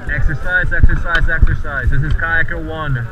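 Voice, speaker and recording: A man's voice: a little high, steady tone, normal speed, dull audio, over loud music. A man making formal radio calls as a kayaker, recorded outdoors on open water. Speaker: kayaker radioing in a rescue drill. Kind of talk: formal VHF radio call. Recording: windy motorboat noise on open water, distant.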